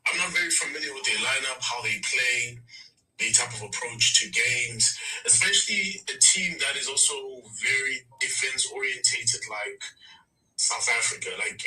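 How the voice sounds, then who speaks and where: A man's voice: medium pitch, normal speed, pushed and bright, distant mic, soft background music, rough recording. A man chatting casually as a football show host, using slang and repeating words for emphasis. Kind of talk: casual banter and match analysis.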